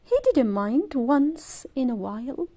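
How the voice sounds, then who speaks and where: A woman reading a children's story aloud in an expressive, deliberate way for young listeners. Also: sits high. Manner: animated character voices, deliberate phrasing.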